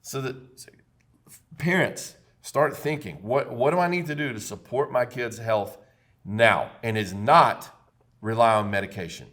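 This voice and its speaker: A man's voice: mid-range voice, measured pace, a bit bright. A man, hosting a casual health podcast in an emphatic, preachy way and often repeating himself. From Texas. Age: 50s. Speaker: podcast host, chiropractor.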